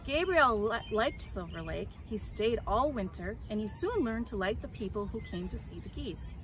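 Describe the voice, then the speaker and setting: A woman reading a children's storybook aloud in an expressive, emphatic way. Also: fairly high pitch, moderate pace. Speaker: story reader. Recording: clear background noise.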